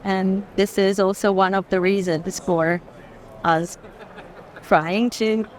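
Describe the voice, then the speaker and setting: A woman's voice: medium pitch, level tone, slow. A woman with a mid-range voice speaks as a curator guiding visitors through an art fair. She talks spontaneously and reflectively, unfolding long explanations a phrase at a time. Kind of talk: unscripted walking conversation about art. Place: busy art fair hall, walking.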